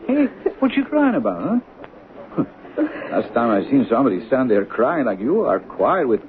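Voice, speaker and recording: An actor playing a blunt, kindly, baffled lunch-counter man with colloquial speech in a radio drama. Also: mid-range voice. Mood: concerned. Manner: colloquial, animated. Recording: tinny, noisy 1970s radio recording.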